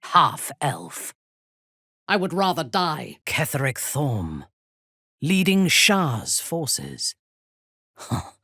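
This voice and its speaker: Low, husky, haughty dark elf warrior. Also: female voice, very animated, no room sound, studio quality, full character voice. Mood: dry, dismissive. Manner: imperious, deliberate, measured. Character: haughty, ruthless female dark elf warrior, coldly menacing. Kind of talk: dramatic video game character acting.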